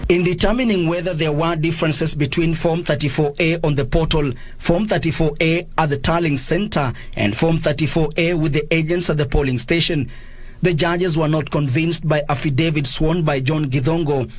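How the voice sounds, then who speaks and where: A Kenyan man narrates a scripted news report. His voice sits somewhat high for a male speaker. The recording is tight and dry, with no room around it. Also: steady tone, moderate pace, no echo.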